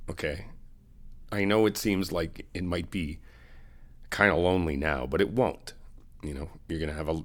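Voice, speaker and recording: Middle-aged guy doing a chill asmr best-friend roleplay, kinda low voice, reassuring and chatty. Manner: easygoing, reassuring, chatty with soft laughs.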